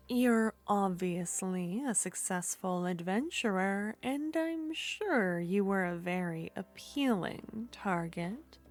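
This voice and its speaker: Sweet clingy witch played by a woman, soft and whispery, super slow and drawn out, little bit of music behind. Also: medium pitch. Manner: soft-spoken, slipping into whispers, coaxing and flattering. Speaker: ASMR roleplay performer. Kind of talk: ASMR roleplay.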